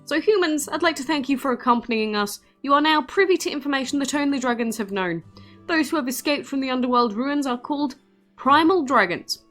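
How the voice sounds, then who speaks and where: Woman voicing a solemn, raised-pitch ancient game being. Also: animated, fairly quick, fairly bright tone, soft background music. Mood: solemn warning. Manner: solemn, formal, emphatic. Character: solemn dragon-wise being warning humans of ancient dangers.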